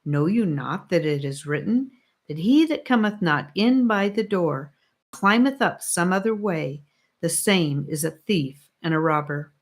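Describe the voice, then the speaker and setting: A woman's voice: fairly low pitch, lively intonation, measured pace. A woman voicing an earnest, pious male pilgrim in an audiobook, speaking solemn, exclamatory archaic English. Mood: earnest questioning.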